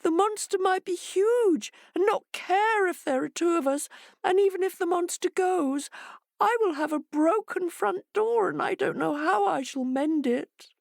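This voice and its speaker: A woman voicing a frightened, tearful female hare who wails in a high, trembling voice. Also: a little slow, vocal fry, no echo, studio quality, heavily acted. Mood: wailing, frightened.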